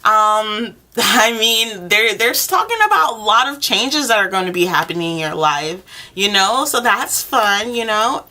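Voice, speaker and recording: Bright-voiced woman giving a chatty tarot reading. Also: fairly high pitch. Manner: informal, chatty, encouraging. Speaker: tarot reader, channel host. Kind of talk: role-play tarot reading addressed one-to-one to the viewer.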